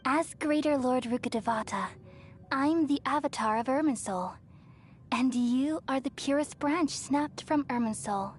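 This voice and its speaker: Game role: ancient wise female deity, high gentle voice, calm reassurance, music underneath. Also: measured pace. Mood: serene, reassuring. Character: serene, wise female deity, remnant consciousness of a dead ruler. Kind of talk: scripted video game voice acting. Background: background music.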